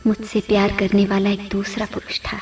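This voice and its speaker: A woman's voice: mid-range voice, completely flat delivery, moderate pace, distant mic, soft background music, close to an everyday voice. A woman playing a yearning, vengeful female ghost in a radio play, pleading and dramatic. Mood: sorrowful. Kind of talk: Hindi radio play acting.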